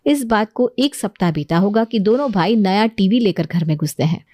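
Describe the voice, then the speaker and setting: A woman's voice: medium pitch, lively intonation, moderate pace, dark tone, no echo. A woman storyteller reading scripted narration for a moral story.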